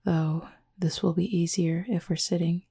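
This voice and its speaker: Woman playing a low, breathy, gentle cupid roommate. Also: heavily acted. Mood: gentle, reassuring. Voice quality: soft, breathy, husky. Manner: measured, formal wording, calm and reassuring. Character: gentle, composed horned cupid roommate, tenderly teasing. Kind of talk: intimate roleplay audio, soft-spoken.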